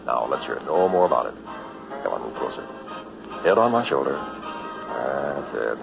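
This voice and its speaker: Deep, breezy young husband. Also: male voice, big pitch swings, normal speed, vocal fry, heavily acted. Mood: breezy, reassuring. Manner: teasing, reassuring. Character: breezy, confident new husband driving his bride. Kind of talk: early 1960s radio drama acting. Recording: muffled vintage radio recording, music behind.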